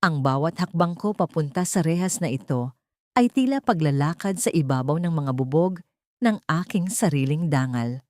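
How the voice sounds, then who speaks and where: A woman's voice: sits low, animated, moderate pace, darkish tone, dry recording, clean studio sound. Woman acting an anguished mother in an audio drama. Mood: bitter. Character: anguished, desperate young mother, proud but pleading.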